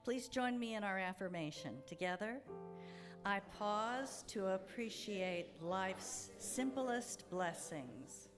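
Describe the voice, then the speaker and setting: A woman speaking as the platform host of a church service, giving an informal welcome and devotional reading, chatty at times and pausing deliberately when she reads. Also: mid-range voice. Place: church sanctuary.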